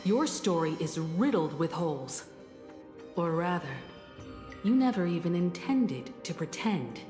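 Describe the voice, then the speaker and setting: An actress playing a composed, stern woman navigator in a video game, deliberate and confrontational. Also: fairly low pitch, lively intonation. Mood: cold, accusing. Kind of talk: dramatic video game acting. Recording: echoey hall reverb. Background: music.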